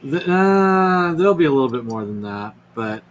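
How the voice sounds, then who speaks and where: A man who is a guest artist, chatting casually on a livestream in a friendly, halting way. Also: medium pitch, very animated.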